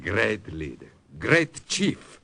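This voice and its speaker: A jolly old italian count in an old radio play, italian accent, voice swings up and down a lot, lots of uh's. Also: male voice, lowish voice, wildly swooping pitch, drawn-out words, gravelly, sharp tone, slight background noise, heavily acted. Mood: genial. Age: older. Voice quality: expansive, hesitant with fillers, drops into Italian. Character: jovial older Italian count, hospitable host. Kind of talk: 1940s radio acting.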